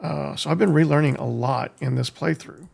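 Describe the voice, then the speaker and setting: An American man streaming a game, giving casual live commentary in a halting way as he thinks aloud, with a gravelly, husky voice. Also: fairly high pitch, darkish tone. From United States. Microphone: streaming desk microphone.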